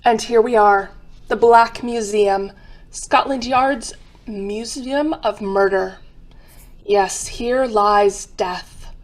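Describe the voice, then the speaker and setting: A woman narrating an old-time radio crime drama as a solemn, macabre museum guide, theatrical and deliberate. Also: mid-range voice, low-quality recording.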